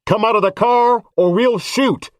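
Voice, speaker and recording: A stern police officer barking loud, clipped orders through a bullhorn, as a male narrator acts him out. The voice rises well above the reader's own low tone. The sound is close and dry. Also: big pitch swings, slow pace, dry recording, full character voice. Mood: commanding.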